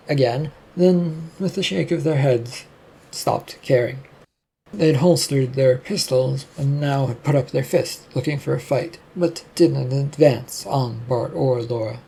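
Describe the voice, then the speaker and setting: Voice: mid-range voice, measured pace, gravelly, dark tone, slightly rough, rough recording. A narrator reading a scripted fiction story aloud, telling the action between lines of character dialogue.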